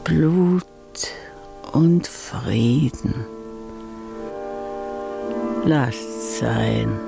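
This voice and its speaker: A stricken, forgiving noblewoman in an old radio tragedy. Her very low voice creaks as she murmurs tenderly. Words come extremely slowly, with long halting pauses. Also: lively intonation, over music, heavily acted. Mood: numb, tender resignation. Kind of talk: 1950s German radio play acting.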